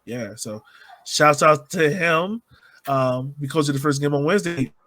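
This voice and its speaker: A man's voice: fairly high pitch, unhurried, bright, forceful tone, no echo. A man hosting a sports podcast, chatting informally and using slang. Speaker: podcast host. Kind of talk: casual sports podcast chat.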